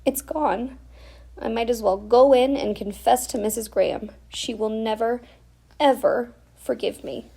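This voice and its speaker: Storybook young girl, performed by a woman: anxious, tearful, whining, a little raised in pitch. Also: measured pace, gravelly, dark tone, slightly rough, full character voice. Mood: tearful resigned. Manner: whiny, despairing.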